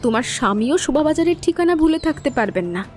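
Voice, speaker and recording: Cold scheming sister-in-law, high voice, taunting, music under it, audio drama. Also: female voice, animated, quick pace. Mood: bitter, taunting. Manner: sharp, threatening, taunting. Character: defiant, cold, scheming married sister-in-law standing up for herself. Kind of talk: audio story drama acting.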